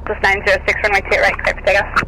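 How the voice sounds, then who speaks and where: A man gives air traffic clearances at an extremely fast clip in a high-pitched voice. He comes through a noisy aircraft radio transmission. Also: monotone, pushed and bright. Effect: aviation radio.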